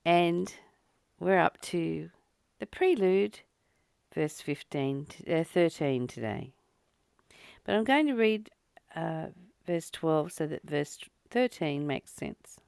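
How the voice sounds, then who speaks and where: A woman hosting a spiritual study, reading a text aloud and commenting on it in deliberate, measured phrases. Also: low voice, animated, gravelly, a little mellow, clean tone, dry recording, studio quality. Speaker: host of daily spiritual readings.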